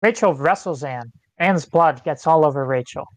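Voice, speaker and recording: Screenplay directions reader, measured delivery, medium-low neutral pitch, scripted narration, no room echo. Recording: online meeting, close and dry.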